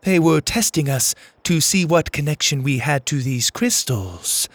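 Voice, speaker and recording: Man voicing a high, clipped, desperate young girl. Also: expressive, unhurried, fairly bright tone, dry recording, full character voice. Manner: clipped, stilted, pleading. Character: desperate young girl posing solemnly as an alien.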